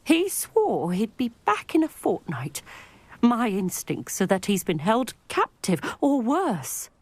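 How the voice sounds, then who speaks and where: An older British village widow in a fantasy game, chatty and full of worry. Her voice sits high and swings widely in pitch, with folksy turns of phrase. The sound is close and dry. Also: huge pitch swings, slow pace, no room sound, exaggerated voice. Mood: worried, gossipy. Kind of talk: video game character dialogue.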